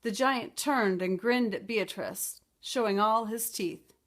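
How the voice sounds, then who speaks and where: A woman reading a children's picture book aloud as a storytime teacher, expressive and explanatory. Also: mid-range voice, takes it slowly.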